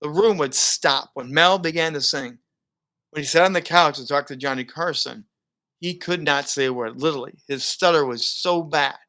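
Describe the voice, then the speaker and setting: Man, middle-aged, bright forceful voice, fairly high, animated storyteller, laughs a lot. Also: moderate pace. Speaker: guest, broadcaster and keynote speaker. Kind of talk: casual interview conversation.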